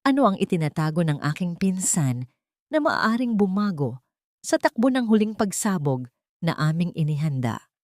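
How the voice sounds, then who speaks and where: A woman reading a scripted first-person story in a solemn, melodramatic, deliberate manner. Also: lowish voice, darkish tone, no room sound, clean studio sound.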